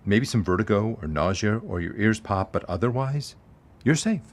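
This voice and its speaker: An actor playing a worried investigator who uses a wheelchair and pleads with his sister in an audio drama, with a rough voice. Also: on the low side, expressive, moderate pace. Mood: insistent.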